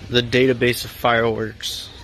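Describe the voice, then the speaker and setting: A man's voice: mid-range voice, little pitch movement, moderate pace, vocal fry, a bit bright, a little hiss or hum. A young man filming on his phone in a large indoor store, making teasing, wisecracking comments and laughing often. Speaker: vlogger filming. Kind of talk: casual joking commentary. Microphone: handheld phone camera.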